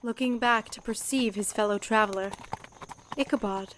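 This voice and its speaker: A woman playing the storyteller of a gothic horror audio drama, in measured, literary narration. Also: medium pitch, fairly even intonation, close to an everyday voice. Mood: ominous. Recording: faint background effects noise.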